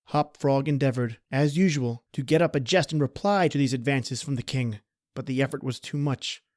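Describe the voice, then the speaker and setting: A man's voice: mid-range voice, moderate pace, dry recording, studio quality. A man narrating a scripted horror story in a dramatic radio-play style.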